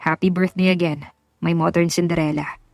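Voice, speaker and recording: Lady doing a sweet teasing husband for a love story audiobook, bit low, dry recording. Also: steady tone, normal speed, a little mellow, no echo, soft background music, lightly acted. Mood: tender. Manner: mixes English phrases into Tagalog, tender. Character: doting, teasing young husband, suave and romantic. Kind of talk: audiobook romance dialogue.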